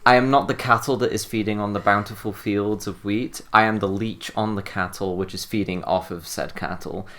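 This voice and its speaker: A woman's voice: very deep voice, steady tone, fairly quick, fairly bright tone. A woman co-hosting a casual, comedic podcast, informal and sweary, often repeating words as she gets going. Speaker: podcast co-host.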